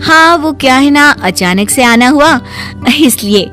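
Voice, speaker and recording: A fairly high voice of an affectionate young sister, played by a woman. She talks in an earnest, chatty cartoon-story manner over plucked guitar music. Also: lively intonation, measured pace, a bit bright. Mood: friendly, curious. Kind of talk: acted dialogue in Hindi moral story cartoon.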